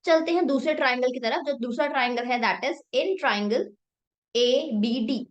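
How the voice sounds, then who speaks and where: Young female math tutor, sore throat, fairly high voice, talks through each step and answers her own questions. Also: a little slow. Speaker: math teacher. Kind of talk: Hindi-English math lesson.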